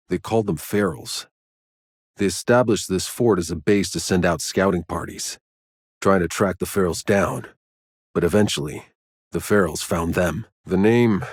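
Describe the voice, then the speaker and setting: An actor playing a gruff, world-weary soldier and scavenger in a video game, terse and dry, with a low husky voice. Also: male voice, dry recording.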